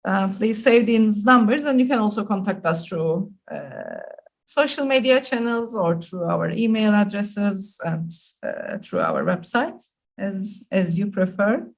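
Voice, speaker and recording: A woman's voice: medium pitch, animated, measured pace, creaky. Turkish-accented woman hosting a webinar. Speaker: webinar host and moderator. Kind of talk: hosting an online webinar, semi-formal.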